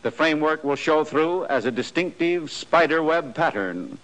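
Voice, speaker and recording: Higher-voiced American man, 1940s film narrator. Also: animated, normal speed, a bit bright, low-quality recording. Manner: formal, precise, matter-of-fact delivery.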